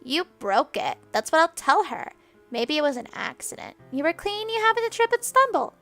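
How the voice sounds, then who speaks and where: A woman's voice: sits high, very animated, measured pace, a bit bright, soft background music, heavily acted. A woman voicing a spoiled, haughty young noblewoman who taunts and sneers. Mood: taunting.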